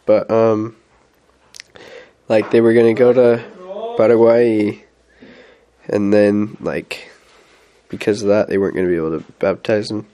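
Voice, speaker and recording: A man's voice: fairly low pitch, fairly even intonation, measured pace, mellow. A young man on a mission, talking casually through his week with many fillers and restarts while stuffy with a head cold. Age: young adult. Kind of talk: casual weekly update vlog.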